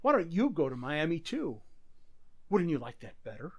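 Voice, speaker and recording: A man's voice: fairly high pitch, very animated, moderate pace, a little mellow, husky, dry recording, full character voice. A man playing a troubled, suspicious husband, hesitant and brooding, in a radio mystery drama. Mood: suspicious, menacing.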